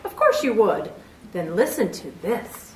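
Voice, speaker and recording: A woman's voice: medium pitch, sing-song, unhurried, darkish tone, soft background music. A woman reading a 1940s radio commercial in a chirpy, persuasive way. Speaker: commercial announcer. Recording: distant, roomy old broadcast.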